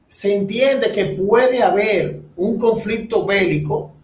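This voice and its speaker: A high-pitched man interviews a guest at an unhurried pace. He sets up each question deliberately. He sounds distant from the mic in an echoey room, with faint noise. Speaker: host interviewer.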